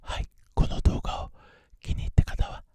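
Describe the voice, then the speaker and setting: An ASMR host speaking in a breathy whisper, with repeated nonsense syllables and mouth sounds, inside a car. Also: fast, dark tone, no echo.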